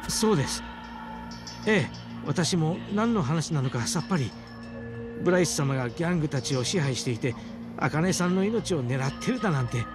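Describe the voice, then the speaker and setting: A man's voice: a little high, animated, moderate pace, music in the background, natural voice. Actor as polite young man, lighter voice. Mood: puzzled, defensive. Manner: formal, polite. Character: courteous, loyal young male religious caretaker, bewildered. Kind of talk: dramatic game cutscene acting.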